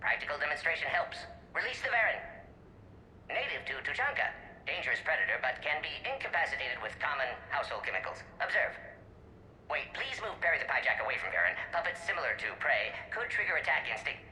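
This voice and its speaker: A brisk male alien scientist from a video game, with a very sharp, forceful tone and lively swings in pitch. He drops small words and talks like an eccentric lecturer. The recording is echoey. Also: exaggerated voice. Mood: eager, instructive. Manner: clipped telegraphic sentences, didactic. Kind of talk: video game character performance. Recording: echoey recorded message with faint noise.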